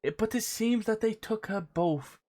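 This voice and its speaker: A woman's voice: mid-range voice, normal speed, dark tone. A woman game streamer reading on-screen text aloud and adding playful, sweary casual commentary.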